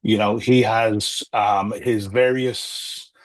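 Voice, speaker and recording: A middle-aged man, a former diplomat, talking as a guest over a video call, measured and hesitant with many fillers and restarts. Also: fairly low pitch, fairly even intonation, low-quality recording. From Ireland or Britain. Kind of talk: remote interview, political commentary.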